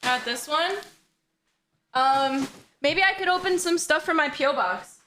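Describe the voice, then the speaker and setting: Bubbly twenties woman streamer, high bright voice, squealy, excitable. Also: a little slow, slight background noise. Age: 20s. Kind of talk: casual livestream chat. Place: her bedroom.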